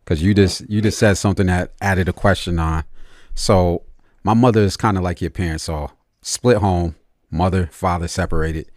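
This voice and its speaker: Brief: American male interviewer, lowish voice, close dry recording, relaxed slangy and agreeable chat. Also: moderate pace, no room sound. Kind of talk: casual conversation.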